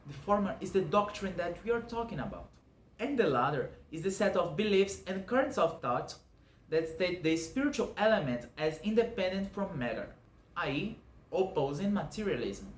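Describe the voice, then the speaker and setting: A man in his twenties from Brazil with a Brazilian Portuguese accent, whose first language is Portuguese. He hosts his own channel and explains a doctrine in an earnest, measured way, going through points one by one. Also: sits high, big pitch swings. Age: 20s. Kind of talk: scripted explanatory monologue. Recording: echoey room with faint background noise.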